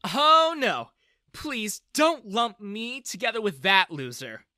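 A man voicing a playful, blunt teenage daughter in an animated story. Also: sits high, takes it slowly, dry recording, studio quality, voice pushed up, exaggerated voice. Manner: playful, teasing.

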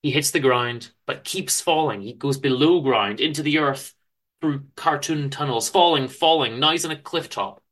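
A man giving a dramatic literary reading of a story, with theatrical, deliberate emphasis and pauses. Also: medium pitch, a bit bright. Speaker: author reading own story aloud.

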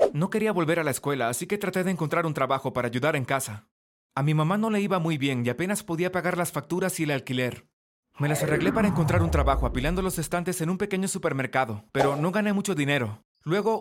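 A man's voice: on the high side, a little hiss or hum. A man reading a scripted first-person story as narrator.

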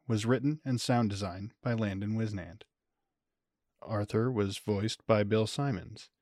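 A man's voice: on the low side, a little mellow, dry recording, studio quality. A man reading scripted podcast credits as an announcer. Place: close, dry recording.

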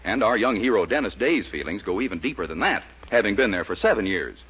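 A man's voice: on the low side, lively intonation, fast, very noisy. A man announcing and reading ads in a punchy 1940s radio showman style. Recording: dull, lo-fi 1940s radio recording.

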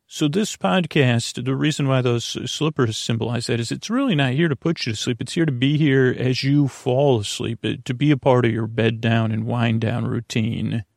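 Creaky-voiced man rambling on a bedtime podcast. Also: mid-range voice, dry recording, clean studio sound. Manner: meandering, halting, trailing asides. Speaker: podcast host.

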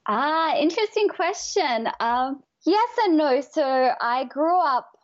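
An Australian woman, a life coach, telling her story in a bright, chatty way as an interview guest. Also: high voice, lively intonation, slow, dull audio. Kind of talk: interview answers.

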